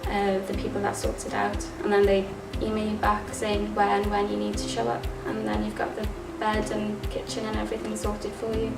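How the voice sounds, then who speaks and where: Flat-voiced young woman, roomy. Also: medium pitch, brisk, creaky, few pauses. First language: Welsh. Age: young adult. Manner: plain, even telling with fillers. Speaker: physiotherapy student. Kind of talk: casual talk to camera. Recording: roomy, distant mic, music behind.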